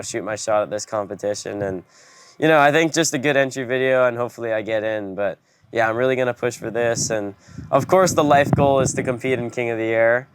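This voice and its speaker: A man's voice: medium pitch, clean tone. A young man from Hawaii answering interview questions in an easygoing way, with lots of "like" and "you know". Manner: laid-back, many fillers like "you know" and "like". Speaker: guest. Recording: noisy background.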